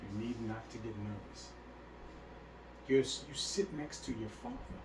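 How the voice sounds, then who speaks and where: A man's voice: lowish voice, sing-song, unhurried, echoey room. A man teaching a religious class, emphatic and repeatedly checking that his listeners understand. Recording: faint hiss, speaker far from mic.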